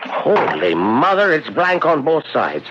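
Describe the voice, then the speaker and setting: An actor playing a bewildered, homely husband in an old radio drama. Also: male voice, a little high, huge pitch swings, measured pace, noisy background. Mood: astonished. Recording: muffled old radio recording.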